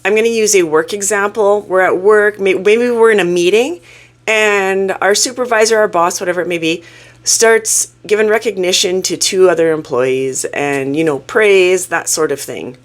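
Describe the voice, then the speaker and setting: A woman hosting her own channel, sounding croaky from a cold as she talks through a topic in a casual, earnest, self-reflective way. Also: mid-range voice, normal speed. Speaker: YouTube channel host. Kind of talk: casual talking-head explainer.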